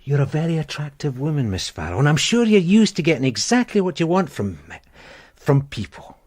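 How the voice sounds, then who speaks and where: An actor playing a blunt, dogged detective sergeant in a radio crime drama, probing and plain-spoken. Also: male voice, on the high side, big pitch swings, brisk, darkish tone. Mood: pressing, stern. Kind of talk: naturalistic radio drama dialogue.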